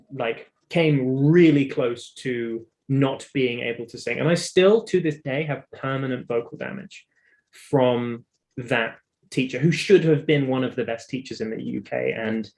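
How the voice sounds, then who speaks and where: A man's voice: mid-range voice, gravelly, darkish tone, poor audio. A British man talking casually in an interview, explaining his own story in a talkative, emphatic way. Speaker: interview guest, singer and vocal coach.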